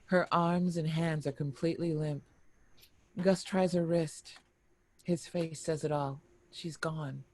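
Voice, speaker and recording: A narrator reading screenplay action and scene headings in a deliberate, expressive storytelling manner. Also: medium pitch. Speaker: narrator reading stage directions.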